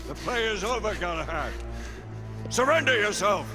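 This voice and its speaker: A stern knightly Lord Chancellor in a game drama. His voice is pitched very high and swings widely, righteous and commanding, under loud music. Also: animated, moderate pace. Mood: stern outrage. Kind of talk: dramatic video game voice acting.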